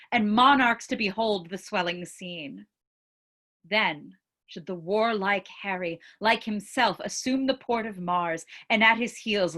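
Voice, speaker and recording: Slow, declamatory woman as a Shakespearean chorus. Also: mid-range voice, sharp tone. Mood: rousing. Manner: measured, declamatory. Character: Chorus, earnest prologue speaker of a Shakespeare history play. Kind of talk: classical Shakespeare stage recitation.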